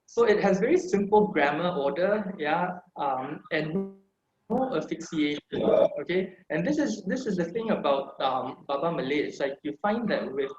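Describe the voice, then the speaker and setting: A man's voice: a little high, expressive, normal speed. Singapore-raised male presenter on a video call, casual and rambling, roomy muffled sound. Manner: chatty, many fillers and tag questions. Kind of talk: online talk with slides. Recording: echoey room, dull lo-fi video call audio.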